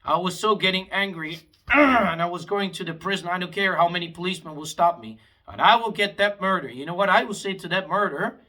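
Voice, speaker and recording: A man's voice: high-pitched, expressive, fairly quick, hardly any pauses, sharp tone, rough recording. A man, delivering a heated, rambling vlog monologue full of restarts. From Afghanistan. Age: 20s. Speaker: vlogger.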